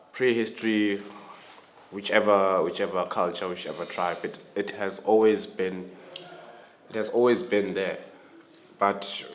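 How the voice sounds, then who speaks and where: A man's voice: on the low side, a little hiss or hum. A man in his late twenties from South Africa who hesitates and repeats words often in a casual panel discussion. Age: 20s.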